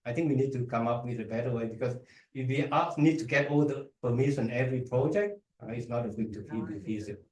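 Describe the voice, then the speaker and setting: A man with a Vietnamese accent speaking as an academic presenter, answering questions in a halting way with repeated words. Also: medium pitch, darkish tone. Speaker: academic researcher, guest speaker. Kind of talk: conference talk and audience Q&A. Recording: echoey room, distant microphone.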